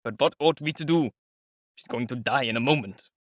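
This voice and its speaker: A man voicing a middle-aged civil servant who speaks calmly and mutters in an audiobook. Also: brisk, no room sound, clean studio sound, natural voice. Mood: muttering. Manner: soothing, then low muttering.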